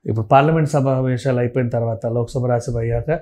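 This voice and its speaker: A man's voice: medium pitch, steady tone, quick pace, warm tone. A man giving opinionated, conversational commentary as a guest, emphatic and repeating phrases, mixing in English words. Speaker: film and political commentator, interview guest.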